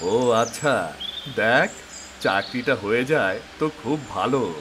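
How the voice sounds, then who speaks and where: A man playing a struggling, protective older brother and old friend in expressive, resigned cartoon dialogue. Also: mid-range voice, slow. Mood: friendly. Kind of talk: acted cartoon drama dialogue. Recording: noisy outdoor ambience with birds, insects.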